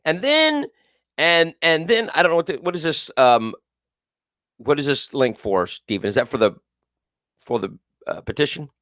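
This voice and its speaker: A man's voice: fairly high pitch, lively intonation, normal speed, pauses often, a bit bright, no echo, studio quality. A male talk show host with a big, carrying voice, giving emphatic, repetitive political commentary and reading viewers' comments aloud. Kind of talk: live political call-in commentary.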